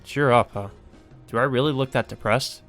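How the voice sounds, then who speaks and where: A man's voice: medium pitch, moderate pace, fairly bright tone, close to an everyday voice. A man playing a dry, exasperated, terse male detective from a visual novel. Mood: dry, puzzled. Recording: music behind the voice.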